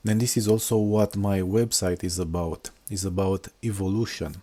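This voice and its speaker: A middle-aged software engineer from Romania talking unscripted through a screen walkthrough, with many hesitations and fillers. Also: male voice, low voice, steady tone, moderate pace. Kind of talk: casual screen-recorded walkthrough.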